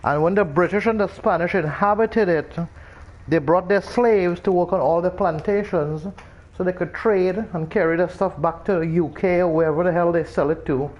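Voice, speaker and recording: Streamer, Trinidadian man, higher voice, chatty and rambling, muffled lo-fi sound. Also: moderate pace. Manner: rambling, animated explaining with many restarts. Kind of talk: casual chat while gaming.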